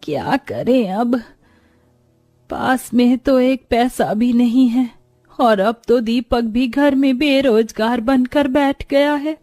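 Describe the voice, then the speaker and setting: A woman's voice: fairly high pitch, slow, mellow, dry recording, faint music behind. A woman voicing a distressed young daughter of a poor family in a story, plaintive and anxious.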